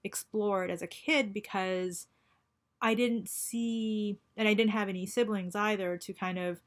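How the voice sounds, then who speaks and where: Reflective woman in her forties, halting speech. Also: mid-range voice, fairly even intonation. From born in Japan, raised in Canada. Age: 40s. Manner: halting and reflective, many ums and restarts. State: going through chemotherapy. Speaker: vlogger. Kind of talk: personal video diary.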